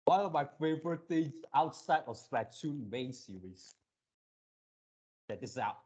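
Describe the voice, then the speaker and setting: A man hosting a casual fan reaction video, gushing excitably in halting, drawn-out phrases. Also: a little high, husky.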